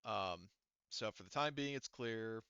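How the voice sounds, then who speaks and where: A man's voice: on the low side, measured pace, sharp tone, clear, resonant tone, dry recording, lo-fi sound. A man acting as game master, explaining and narrating an online tabletop game in a casual, hesitant, rambling way.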